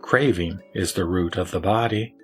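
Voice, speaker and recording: Man voicing low-pitched wise sage Vimalakirti. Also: moderate pace, faint music behind, lightly acted. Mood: solemn teaching. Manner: solemn, formal. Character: Vimalakirti, wise male lay teacher. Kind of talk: audio drama sutra recitation.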